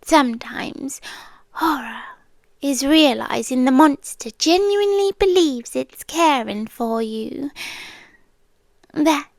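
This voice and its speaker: A British woman playing a possessive, sweetly menacing siren queen in an intimate ASMR roleplay, speaking softly and teasingly and drifting into whispers. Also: sits high, lively intonation, slow, dry recording, heavily acted. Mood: tender menace.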